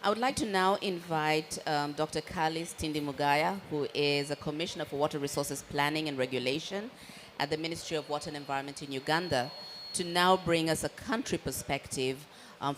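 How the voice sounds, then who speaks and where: Woman moderator, polite and summarizing, very low voice, faint noise behind. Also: brisk. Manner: polite, summarizing, introduces speakers. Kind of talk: moderating a conference panel.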